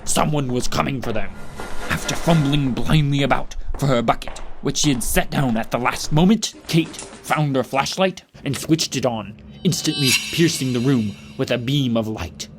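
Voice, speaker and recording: A man's voice: mid-range voice, moderate pace. A man narrating a scripted story for an audio drama. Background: music.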